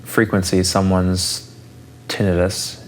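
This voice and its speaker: A man's voice: low voice, little pitch movement, takes it slowly, a little hiss or hum. A man in his thirties hosting a casual interview, thinking aloud and asking curious questions. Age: 30s. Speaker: podcast host.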